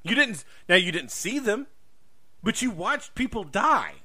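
A man talks as a podcast host in a casual conversational way. His voice is fairly high for a man, with a bright, forceful edge, and he repeats phrases for emphasis. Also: big pitch swings, measured pace, slightly rough.

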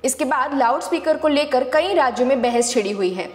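A woman's voice: high-pitched, lively intonation, brisk, reverberant, long reverb tail. A woman narrating a scripted news explainer as the presenter of a fact-check video.

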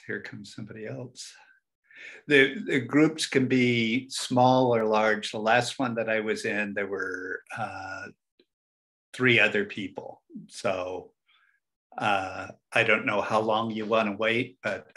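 An older man, a professor, facilitating a session on a video call in a home study, rambling and halting. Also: on the low side, sharp tone. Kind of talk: casual video call talk. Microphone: webcam on video call.

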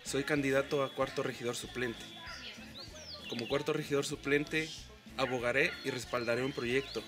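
A young man speaks firmly and proudly to camera among outdoor greenery. His voice is mid-pitched, with clear campaign music playing beneath it. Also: steady tone, normal speed. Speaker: council candidate. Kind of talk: scripted campaign statement.

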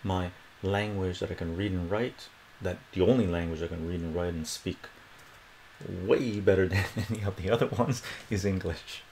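A man's voice: low voice, slight background noise, poor audio. A middle-aged man born in Iran with Armenian as his first language, chatting casually through a math lesson at a whiteboard in a small home room and often asking "right?". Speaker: math tutor.

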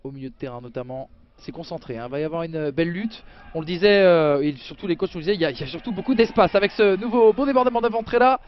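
Chatty high-voiced football commentator. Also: male voice, very fast, muffled recording. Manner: chatty, jokey, excited bursts on action. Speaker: play-by-play commentator.

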